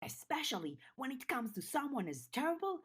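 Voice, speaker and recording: Lady doing a cheeky little opossum girl in an audiobook, feisty, pitched way up. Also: big pitch swings, brisk, breathy edge, heavily acted. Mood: gleeful defiance. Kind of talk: audiobook character dialogue.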